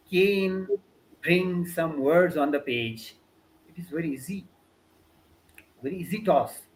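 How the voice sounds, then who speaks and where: High-voiced Pakistani man lecturing earnestly. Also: fairly bright tone, slight background noise, low-quality recording. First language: Balti. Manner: earnest, repeats words, many fillers like "you know" and "means". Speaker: guest lecturer. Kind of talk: webinar talk answering questions.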